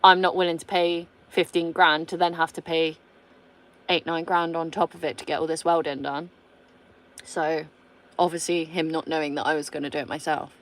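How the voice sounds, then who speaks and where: A woman's voice: low-pitched, little pitch movement, normal speed, rough recording. A woman in her thirties with a British accent, chatting casually on her vlog and reading out viewer comments, blunt, swearing freely and laughing often. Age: 30s. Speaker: vlogger.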